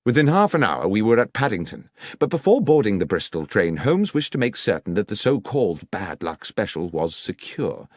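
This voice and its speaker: A man's voice: on the low side, sing-song, moderate pace, few pauses, no room sound, clean studio sound. A man reading a scripted detective audiobook as its narrator.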